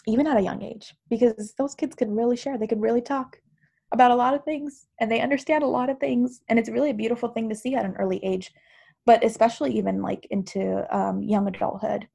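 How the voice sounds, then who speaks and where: A woman's voice: on the high side, fairly even intonation, fairly quick, creaky, mellow, slightly rough. A woman who is a counselor and university professor, explaining at length in a thoughtful, teacherly way during a casual podcast discussion.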